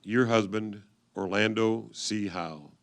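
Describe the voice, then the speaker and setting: Male reader for reader's theatre: historical letters, solemn, measured, with noticeable gravel in the voice. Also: on the low side.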